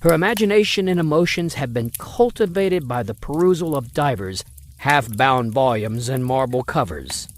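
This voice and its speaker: A man's voice: mid-range voice, lively intonation, moderate pace. A man playing a stern, condescending wealthy father who refuses a suitor, in an old-time radio drama. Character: stern wealthy older father, Mister Spencer. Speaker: voice actor.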